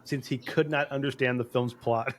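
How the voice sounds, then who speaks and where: A man with a mid-range voice co-hosting a movie podcast. He gets excited, laughs easily and stumbles over his words as he tells stories. Faint noise and small clicks sit behind him. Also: mid-range voice, normal speed. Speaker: podcast co-host. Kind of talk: casual chat.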